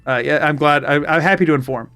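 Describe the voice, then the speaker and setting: Southern male streamer, slightly high voice, loose and chatty, stops and restarts a lot. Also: fast, smooth. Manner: rambling, self-interrupting, animated retelling. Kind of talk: casual chat while gaming.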